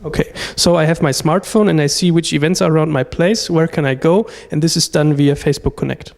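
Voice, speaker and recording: A man conducting an interview, asking brisk, upbeat questions. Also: on the high side, fairly even intonation, creaky, a little mellow, long reverb tail.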